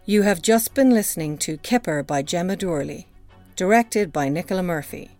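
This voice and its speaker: A woman's voice: fairly low pitch, normal speed, darkish tone, soft background music. An Irish woman works as a radio announcer. She reads out scripted credits at the close of an audio drama.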